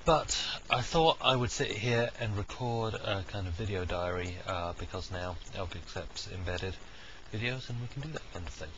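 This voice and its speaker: A man's voice: sits low, normal speed. A man with a heavy cold, rambling through an unscripted video diary from his dining room with many ums and uhs. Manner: rambling, thinking aloud with frequent ums and uhs. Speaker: video diarist, software technical lead. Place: dining room at home, indoors. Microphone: cheap webcam microphone. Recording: dull lo-fi webcam sound with steady background noise.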